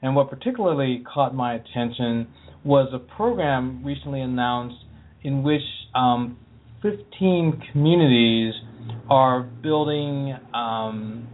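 Talk show host on a phone line. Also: male voice, mid-range voice, slow pace. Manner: conversational, friendly, with occasional fillers. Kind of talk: hosting an interview.

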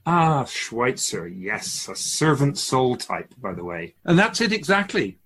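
A man playing a serene immortal keeper of souls in a radio drama, speaking in a measured, formal, professorial way. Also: medium pitch, very animated, a bit bright, slightly rough, heavily acted. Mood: calm, instructive. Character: male-voiced immortal spirit keeper of souls, serene and instructive.

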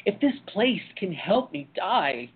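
A man acting in a radio play as a despondent young man with a husky voice, hesitant and defensive, sometimes stammering. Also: sits high, very animated, takes it slowly, full character voice. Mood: brooding, uneasy. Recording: tinny, phone-like narrow-band audio.

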